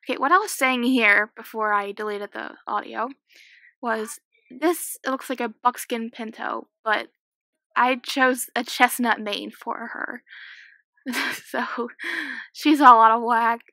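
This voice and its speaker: A sixteen-year-old girl with a slightly croaky voice, chatting and giggling casually while commentating a game she is playing at home. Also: fairly high pitch, measured pace, pushed and bright, no room sound. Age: 16, teenager. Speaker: gaming YouTuber. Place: small home room.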